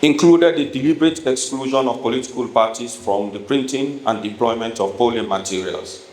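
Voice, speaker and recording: A man with a Sierra Leonean accent delivers a formal prepared political speech as a politician, speaking emphatically and deliberately in measured phrases. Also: mid-range voice, a little mellow. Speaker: politician addressing a town hall. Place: echoey hall at a town hall event. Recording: echoey room with noticeable background noise.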